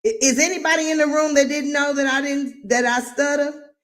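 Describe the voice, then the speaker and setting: A woman's voice: high-pitched, flat delivery, a bit bright. A woman who stutters, hosting a live recap of a reality show with emphatic, preachy commentary. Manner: emphatic and preachy, drawn-out stresses.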